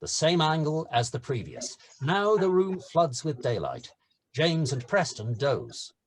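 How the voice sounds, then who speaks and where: A man's voice: a little high, sing-song, unhurried. A man narrating scene headings and stage directions from a script.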